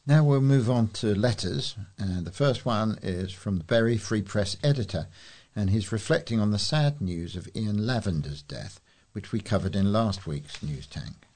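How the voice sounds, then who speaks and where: Casting: British man, very low pitch, volunteer reader of local newspaper letters and editorials. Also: animated, moderate pace, a little mellow. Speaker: volunteer newsreader for a talking newspaper. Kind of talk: reading letters and editorials aloud.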